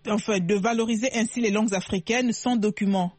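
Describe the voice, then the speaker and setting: Polite, brisk radio host. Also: mid-range voice, steady tone, a little mellow, husky, dry recording. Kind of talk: radio call-in moderation.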